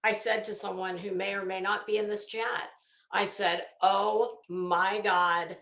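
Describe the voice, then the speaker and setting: Sarcastic, slow-paced woman in an echoey room. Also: mid-range voice, fairly even intonation, fairly bright tone. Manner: sarcastic, mocking, exasperated. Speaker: livestream host. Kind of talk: casual commentary on a legal case, chatting with viewers.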